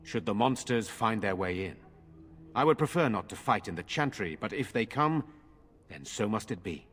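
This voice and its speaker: An actor in a fantasy game playing an earnest, weary nobleman defending his village, speaking formally and gravely. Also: fairly low pitch, animated, moderate pace, a bit bright, in a large hall, soft background music. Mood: earnest, resolute.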